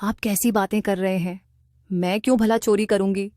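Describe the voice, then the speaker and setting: A woman's voice: mid-range voice, steady tone, moderate pace, warm tone, no room sound, studio quality, close to an everyday voice. A woman voicing an emotional, concerned wife with a guilty, pleading manner in a cartoon. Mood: defensive. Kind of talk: Hindi cartoon voice acting.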